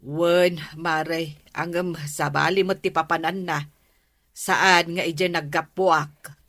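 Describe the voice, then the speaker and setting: A woman acting an unhurried, plain-spoken village neighbour in an Ilocano comedy drama, with an Ilocano accent. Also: lowish voice, steady tone, a bit bright, dry recording, studio quality. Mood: chatty, grumbling.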